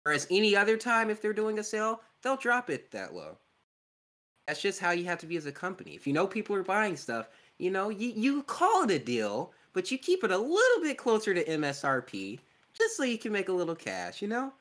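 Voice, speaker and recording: A man's voice: sits high, very animated, fairly quick, a bit bright. A young man co-hosting a casual podcast, joking and laughing easily as he chats. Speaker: podcast co-host. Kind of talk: casual chat.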